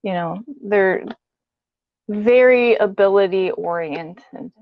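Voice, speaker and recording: A woman's voice: medium pitch, slow, no room sound. Woman recounting in dull lo-fi audio. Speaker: interview guest. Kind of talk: conversational personal account.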